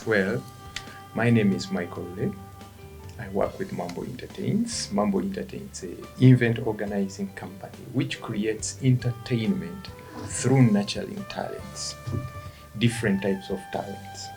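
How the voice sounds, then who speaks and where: Ugandan guy in an interview, low gravelly voice, stops and restarts a lot, faint music behind. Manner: halting, restarts words, quiet then rising when animated. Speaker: guest, event company founder. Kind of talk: interview answers.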